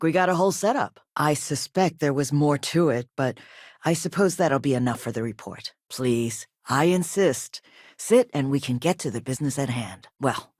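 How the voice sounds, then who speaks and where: Video game chief executive: low female voice, very expressive range, courteous but firm, dry close sound. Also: no room sound. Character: poised, businesslike female arms company chief executive, quietly assertive. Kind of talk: video game dialogue acting.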